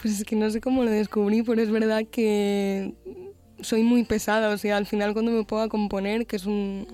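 Mellow young Galician woman, soft voice. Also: mid-range voice, moderate pace, faint music behind. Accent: light Galician. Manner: relaxed, thoughtful, uses many fillers. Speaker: musician guest. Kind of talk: radio interview. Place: radio studio.

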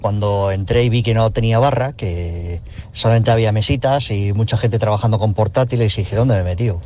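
A man calls in to a radio show and tells a wry story at a quick clip. His voice is mellow, and the line sounds dull and slightly noisy. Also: fairly low pitch. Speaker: caller. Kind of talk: telling an anecdote.

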